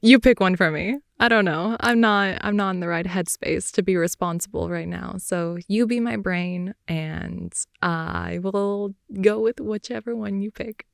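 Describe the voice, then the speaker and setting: A young woman playing a playful, clingy girlfriend in an improvised ASMR roleplay, rambling softly and intimately close to the mic. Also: mid-range voice, measured pace, gravelly, few pauses, no room sound. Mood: affectionate, clingy. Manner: soft, intimate, rambling, giggly, often dropping to whisper. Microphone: close headphone-oriented ASMR mic.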